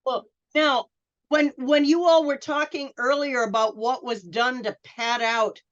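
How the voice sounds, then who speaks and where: Lady panelist explaining stuff carefully, kinda high voice, muffled lo-fi call sound. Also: steady tone, a little slow. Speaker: podcast panelist. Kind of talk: casual, informed panel commentary.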